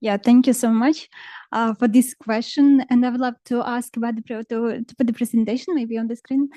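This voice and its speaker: A woman from Ukraine, a ministry project manager presenting on a conference panel in halting with many pauses. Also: on the high side, steady tone, mellow, dry recording. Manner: halting non-native English with frequent pauses.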